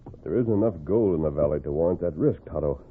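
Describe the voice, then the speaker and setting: A man's voice: low voice, lively intonation, quick pace, husky. A man playing an upright cowboy hero, earnest and commanding, in a 1940s radio western. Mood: thoughtful, concerned. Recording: noisy, tinny old radio recording.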